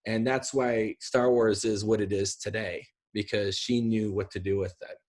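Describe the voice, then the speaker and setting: A man's voice: on the low side, steady tone, moderate pace, a little mellow. A man in his thirties chatting casually about films on a video call from home, rambling and emphatic. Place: indoor room at home. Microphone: video call, sitting back from a computer.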